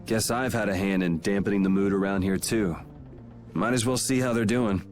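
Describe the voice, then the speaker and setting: A man's voice: lowish voice, fairly even intonation, moderate pace, close to an everyday voice. A man playing a cool, sardonic young swordsman in a video game, with a dry, laid-back way of talking. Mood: wry, subdued. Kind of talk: video game dub acting. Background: background music.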